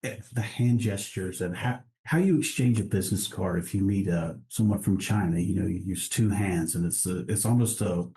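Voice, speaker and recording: A man, a guest on an interview podcast, talking in a relaxed way with frequent restarts and easy laughs. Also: deep voice, moderate pace, few pauses. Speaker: guest, international trade director. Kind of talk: casual interview conversation.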